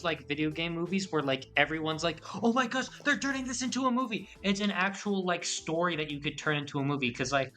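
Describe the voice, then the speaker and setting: Bright excitable young man chatting. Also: a little high. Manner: excitable, rambling with many restarts. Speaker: podcast co-host. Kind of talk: casual chat.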